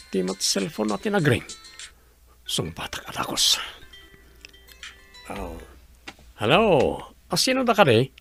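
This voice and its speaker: An actor playing a nervous, sneaky young servant in a radio drama, hesitant and jittery. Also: male voice, mid-range voice, vocal fry, a bit bright, exaggerated voice. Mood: furtive.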